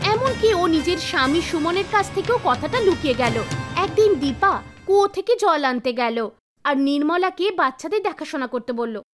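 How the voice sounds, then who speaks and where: A woman narrating a scripted animated folktale as a storyteller. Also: very high-pitched, moderate pace. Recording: background music behind voice. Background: soft music.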